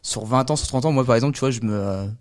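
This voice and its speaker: Quick-talking male podcast interviewer. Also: mid-range voice, a little mellow. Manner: informal, rambling questions that rephrase the guest. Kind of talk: casual conversational interviewing.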